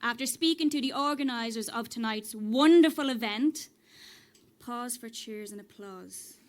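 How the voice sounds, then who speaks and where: An actress with an Irish accent playing a nervous, game audience member, haltingly reading a script aloud on stage. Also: female voice, on the high side, background noise, natural voice. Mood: nervous, wry. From Ireland. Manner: hesitant, halting. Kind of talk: live storytelling performance, reading from a script. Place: on stage at a live cellar event. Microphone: stage microphone.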